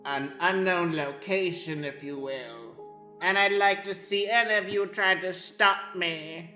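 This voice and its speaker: A gloating witch professor, acted out by an improviser, savors each threat theatrically. The voice is bright and sharp, in an echoey room with music underneath, as comic radio play. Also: mid-range voice, a little slow. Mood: menacing. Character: gloating villainous witch doctor and magic professor. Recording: roomy echo with music underneath.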